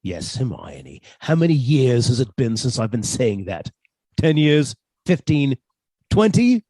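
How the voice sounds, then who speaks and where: An actor playing a meek, henpecked professor, mild and deferential, in a suspense radio play. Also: male voice, medium pitch, sing-song, a little slow, warm tone, no room sound. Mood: exasperated, bitter. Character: meek, henpecked British professor husband, quietly fussy.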